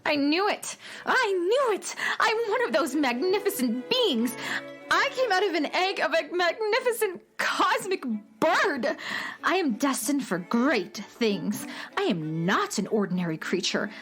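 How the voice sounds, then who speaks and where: Woman acting a high-pitched, vain diva girl. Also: big pitch swings, a little slow, a bit bright, soft background music, exaggerated voice. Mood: exultant, boastful. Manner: boastful, dramatic, critical of others' mistakes. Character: vain, bossy female hatchling seeking her grand origins. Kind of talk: children's radio comedy acting.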